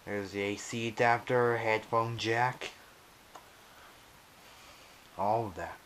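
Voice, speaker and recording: A man casually narrating a hands-on unboxing video in halting, pieced-together phrases, recorded on an action camera. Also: lowish voice, fairly even intonation, a bit bright, slight background noise. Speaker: vlogger unboxing a weather radio. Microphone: action camera on head strap, later monopod.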